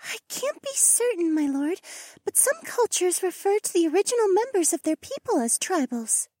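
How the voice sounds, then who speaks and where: A woman's voice: extremely high pitch, big pitch swings, moderate pace, warm tone, no echo. A woman voicing a loyal bird spirit in a fantasy audiobook, cautious, deferential and insistent. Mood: thoughtful. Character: loyal, wary female bird spirit serving a lord.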